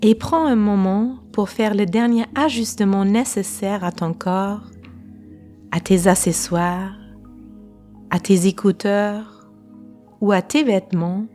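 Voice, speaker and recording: A woman guiding a relaxation meditation in a calm, unhurried way, pausing between short phrases. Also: medium pitch. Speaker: guided meditation teacher. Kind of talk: slow guided relaxation meditation. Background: faint music.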